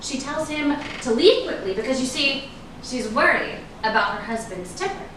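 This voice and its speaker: Echoey, distant actress as a guarded, high-voiced woman. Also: animated, normal speed, a bit bright. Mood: intent, storytelling. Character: guarded, ambitious actress fending off an old flame. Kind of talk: staged reading of a stage drama. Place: echoey indoor room at a staged reading. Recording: echoey room, distant mic, noisy.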